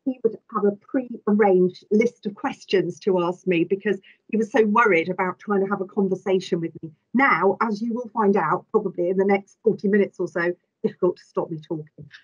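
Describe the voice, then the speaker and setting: A woman's voice: mid-range voice, brisk, slightly rough. Middle-aged english woman telling stories on a video call, muffled a bit, lots of ums. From Devon, England. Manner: chatty, anecdotal, with hesitations. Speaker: chief veterinary officer. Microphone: video call webcam.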